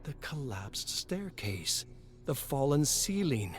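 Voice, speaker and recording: A man narrating a scripted story dramatically, in a measured and solemn manner. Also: medium pitch, big pitch swings. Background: music.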